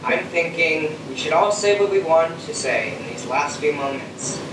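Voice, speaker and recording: A man's voice: on the high side, animated, normal speed, close to an everyday voice. A young man on a school stage playing an earnest, sentimental astronaut and family man in a theatrical manner. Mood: earnest, wistful. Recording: very echoey stage, distant mic, noisy.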